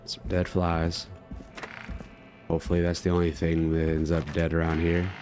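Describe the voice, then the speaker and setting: A man's voice: low-pitched, monotone, moderate pace, darkish tone, faint music behind. A man giving casual let's play commentary, rambling in slang and talking to his viewers. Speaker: gaming video host.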